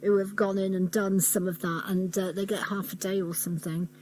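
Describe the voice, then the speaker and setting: A woman's voice: medium pitch, little pitch movement, fairly quick, a little mellow, slight background noise, poor audio. A woman hosting a conversational radio interview.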